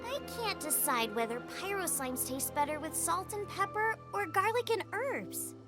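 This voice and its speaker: A woman's voice: very high-pitched, animated, moderate pace. A woman voicing a small, childlike, food-loving companion girl in a cute, musing way for a video game. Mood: musing, playful. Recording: music behind the voice.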